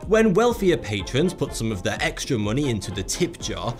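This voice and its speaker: A man's voice: mid-range voice, very animated, moderate pace, faint music behind. A man giving scripted narration for an explainer video, with a sarcastic, wry delivery.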